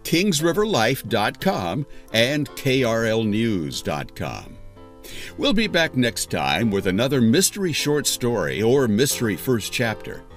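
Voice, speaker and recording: A man's voice: medium pitch, very animated, unhurried. A man reading scripted podcast credits as an announcer. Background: guitar music.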